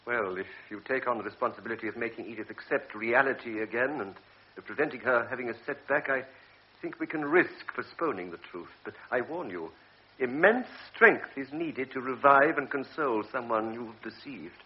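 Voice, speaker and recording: A man's voice: mid-range voice, expressive, fairly quick, close to an everyday voice. Guy doing a serious old doctor, stern and preachy, radio play, dull sound. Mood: grave. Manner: grave, lecturing. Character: grave older male doctor, stern and measured. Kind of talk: radio drama acting. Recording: dull old radio recording with faint hiss.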